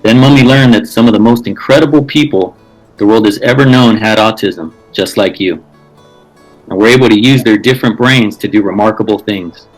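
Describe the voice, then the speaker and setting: Lowish-voiced man reading over guitar. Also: fairly quick, poor audio. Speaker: reader. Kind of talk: read-aloud of a children's picture book. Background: guitar music.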